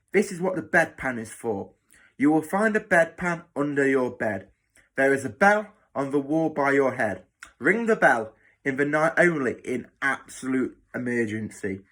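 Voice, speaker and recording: A man's voice: mid-range voice, lively intonation, measured pace. A man voicing a cruel, bossy hospital matron in a children's story, snapping and mocking with sarcasm. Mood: stern, commanding.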